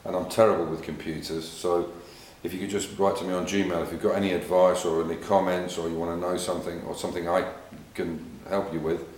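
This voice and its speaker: A man's voice: sits low, level tone, fairly quick, few pauses, a little mellow. A middle-aged English man with an English accent, chatting casually about his car work with many fillers and repeated words. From England. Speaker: car restorer vlogger. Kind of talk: casual workshop vlog. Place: garage workshop. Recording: echoey garage with faint noise.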